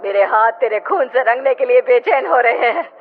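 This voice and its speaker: A woman's voice: a little high, expressive, moderate pace, a little hiss or hum, voice pushed up, close to an everyday voice. A woman voicing a vengeful, menacing female ghost bride in a dramatic horror cartoon style. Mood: bloodthirsty rage. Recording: muffled audio with loud music bed.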